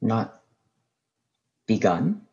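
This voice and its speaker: A man's voice: on the low side, big pitch swings, drawn-out words, creaky, darkish tone, exaggerated voice. Male actor as a tired, educated survivor, earnest and doubting, radio-play style, slightly dull lo-fi recording. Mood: despairing, questioning. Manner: earnest, questioning.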